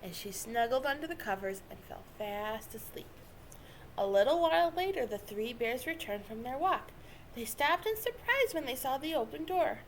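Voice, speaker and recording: A woman reading a children's story aloud with expressive, dramatic narration. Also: high voice, normal speed, slight background noise, rough recording. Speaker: storybook reader.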